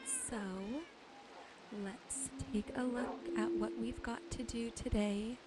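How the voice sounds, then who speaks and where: A woman gently narrating her gameplay as a sleep ASMR host, soothing and unhurried. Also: on the high side, little pitch movement, heavy background noise. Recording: music behind the voice. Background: soft music, game rain and animal sounds.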